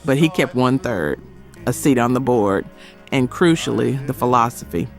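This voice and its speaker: A man delivering scripted documentary narration in emphatic short phrases with dramatic pauses. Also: mid-range voice, expressive. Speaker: narrator of a music history video. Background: background music.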